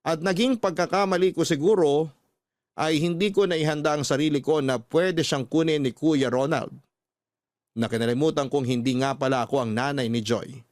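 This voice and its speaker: A man's voice: fairly high pitch, steady tone, normal speed, a little mellow, dry recording. A man hosting a radio show, reading a listener's letter as a heartfelt, sentimental story. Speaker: radio host narrator.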